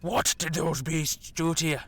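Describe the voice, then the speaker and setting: A man's voice: a little high, steady tone, measured pace, slightly rough. Guy playing a stern old dragon king dad, proud and bossy, formal warlord talk, fantasy audio play. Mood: shocked, suspicious. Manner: commanding, formal, imperious. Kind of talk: dramatic audio roleplay acting.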